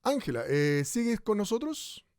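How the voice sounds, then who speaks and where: An actor playing a male radio host who moderates a debate in a measured way, with hesitant fillers, in a radio drama. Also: sits high, very animated, heavy background noise.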